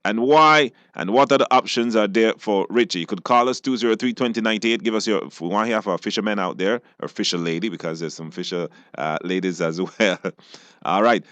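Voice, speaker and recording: A man's voice: fairly low pitch, brisk, fairly bright tone, no echo. A man with a Belizean accent hosting a live radio call-in show and talking to guests and listeners.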